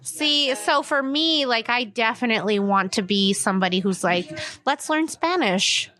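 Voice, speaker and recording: Emphatic bright-voiced woman chatting. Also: fairly high pitch, normal speed. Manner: emphatic, animated storytelling with quoted asides. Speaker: podcast co-host. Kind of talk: casual chat. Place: coffee shop.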